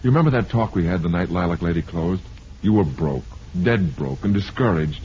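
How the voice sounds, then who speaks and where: Desperate low-voiced man in vintage radio drama. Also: lively intonation, a little mellow. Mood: anxious, humble. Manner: pleading, halting, earnest. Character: desperate down-and-out actor pleading for a job. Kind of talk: old-time radio drama acting. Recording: muffled, hissy old radio recording.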